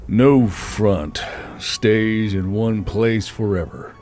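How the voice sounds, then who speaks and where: A man's voice: on the low side, extremely slow, a little mellow, faint music behind. A man voicing a terse, weary and irritable male war leader in a fantasy audiobook. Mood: weary resolve. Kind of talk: audiobook character acting.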